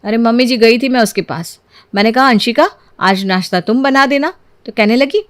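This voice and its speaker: An exasperated young Indian daughter-in-law from a cartoon family drama, put on by a woman. Her voice is on the high side and very dry. She complains dramatically, whiny and indignant. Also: moderate pace, dry recording, close to an everyday voice.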